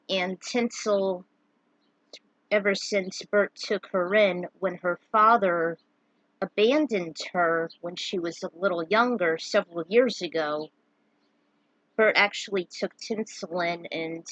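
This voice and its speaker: Woman, mid-pitched, fan fiction narrator, theatrical, emphatic delivery, dry close recording. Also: a bit bright, no echo, low-quality recording. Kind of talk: dramatic recap and reading of own fan fiction.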